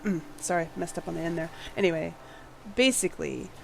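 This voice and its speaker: Slightly husky woman, chatty and tired. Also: fairly low pitch, fairly even intonation, brisk, slight background noise, low-quality recording. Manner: chatty, apologetic, laughs often. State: slightly husky from a fading cold; tired, yawning late at night. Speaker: gaming channel host. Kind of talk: casual game-building commentary. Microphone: home voice mic.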